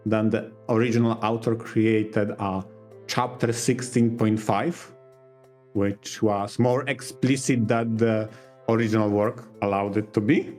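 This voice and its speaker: Male co-host, slightly low pitch, casual discussion over faint music. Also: level tone, measured pace, a little mellow. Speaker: podcast co-host.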